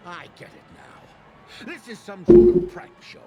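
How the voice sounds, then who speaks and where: A cynical old grump in a video game. His gravelly voice sneers and lurches through huge swings in pitch. Game music runs steadily under him. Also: sing-song, measured pace, dark tone, heavily acted. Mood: scornful. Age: older. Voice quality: gravelly, scornful.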